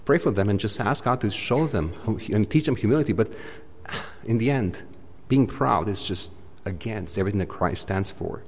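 A man preaching a sermon in a church, talking in a conversational way, often saying "you know" and swinging between loud and soft. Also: on the low side, expressive, normal speed. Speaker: pastor. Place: church hall. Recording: echoey church hall.

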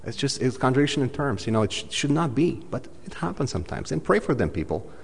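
A man preaching a sermon in a church, talking in a conversational way, often saying "you know" and swinging between loud and soft. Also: mid-range voice, big pitch swings, quick pace, vocal fry, breathy edge. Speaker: pastor. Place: church hall. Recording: echoey church hall.

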